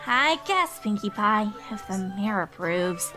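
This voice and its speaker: A woman's voice: mid-range voice, sing-song, a little slow. A woman playing a studious, earnest young female pony in a fan audio drama, speaking precisely with an orderly, admonishing manner. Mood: hesitant. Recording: music behind the voice.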